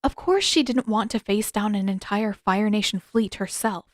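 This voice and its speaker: A woman narrates fiction in a scripted audiobook style. Her voice sits at an average pitch for a woman. The sound is dry, with very little room to it. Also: moderate pace, no echo.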